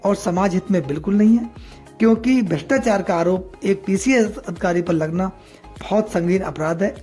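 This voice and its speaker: A man's voice: sits high, darkish tone. A man delivering opinionated news commentary to viewers, moralizing and posing rhetorical questions. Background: background music.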